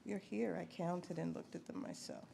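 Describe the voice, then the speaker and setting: A woman's voice: lowish voice, very animated, moderate pace, gravelly, warm tone. A woman presenting awards at a live ceremony in an informal, chatty way, with asides to herself as she goes. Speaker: poet. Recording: faint background noise, live event microphone.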